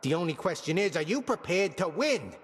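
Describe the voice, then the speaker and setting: An actor playing a stern, teasing military commander and mentor in a video game, with a barking, commanding manner. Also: male voice, sits high, sing-song, fairly quick. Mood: commanding, challenging. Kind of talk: video game character acting. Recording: distant, long hall reverb.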